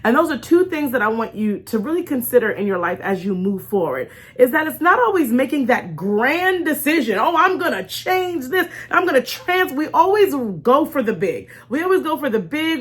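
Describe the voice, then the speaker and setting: A woman hosting an informal faith talk in an animated, conversational way, stressing and repeating key phrases and acting out imagined remarks. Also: high-pitched, brisk, few pauses. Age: adult with young children. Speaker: host of a faith storytime show.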